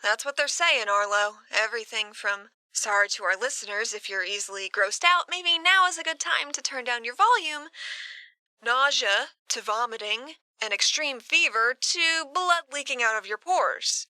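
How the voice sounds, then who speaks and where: A woman's voice: fairly high pitch, animated, moderate pace, pushed and bright, clean tone, dry recording. A woman playing a peppy, squeamish radio co-presenter doing exaggerated broadcast banter in an audio drama. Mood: mock disgust.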